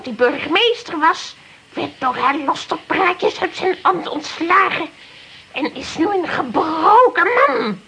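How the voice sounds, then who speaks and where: A vengeful little ghost from a children's radio play. The voice is extremely high and swoops wildly as it declaims its spite. There is some faint noise in the recording. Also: female voice, a bit bright, heavily acted, low-quality recording. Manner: theatrical, declaiming. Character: spiteful ghost of slander, leader of six, vengeful. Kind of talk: children's audio drama acting.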